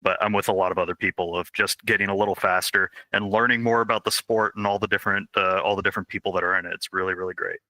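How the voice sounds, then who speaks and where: A man's voice: low-pitched, fairly even intonation, quick pace, hardly any pauses, bright, forceful tone, dry recording. A man co-hosting a live online puzzle event, upbeat and enthusiastic, often repeating his first words as he gets going. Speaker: co-host. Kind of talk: casual live-stream chat.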